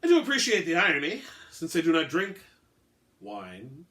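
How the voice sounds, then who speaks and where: A man's voice: on the high side, wildly swooping pitch, normal speed, bright, forceful tone, voice pushed up, heavily acted. A man voicing a suave, haughty centuries-old vampire with formal, pompous phrasing, in a dramatic story reading. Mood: wry. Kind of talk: dramatic reading of an all-dialogue short story.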